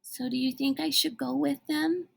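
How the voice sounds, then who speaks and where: A man's voice: extremely high pitch, steady tone, normal speed, creaky, a bit bright, voice pushed up, heavily acted. A woman voicing an anxious, sensitive young boy in a storybook, nervous and hesitant. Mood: unsure.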